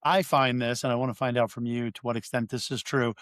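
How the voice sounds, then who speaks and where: A man's voice: mid-range voice, little pitch movement, fairly quick, fairly bright tone, no echo. Male podcast host, dry close sound. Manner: conversational, joking. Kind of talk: podcast interview.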